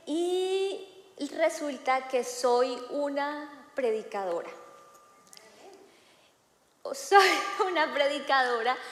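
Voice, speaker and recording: Thirtyish woman preacher with a somewhat high voice, talking casually from the pulpit, recorded with heavy room echo. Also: fairly bright tone. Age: 30s. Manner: conversational, frequent filler "eh" and "¿no?". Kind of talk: sermon. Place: church hall. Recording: echoey hall with long reverb.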